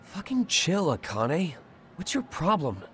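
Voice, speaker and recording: A man's voice: fairly high pitch, wildly swooping pitch, a little slow, a bit bright, slight background noise, exaggerated voice. An actor playing a blunt teenage male student in a video game dub, with casual phrasing. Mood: annoyed. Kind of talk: English game dub dialogue.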